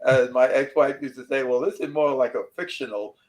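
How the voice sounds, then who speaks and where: A man's voice: mid-range voice, fairly quick. A man, an author guest rambling through casual stories and repeating himself. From New York City. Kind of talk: casual interview chat.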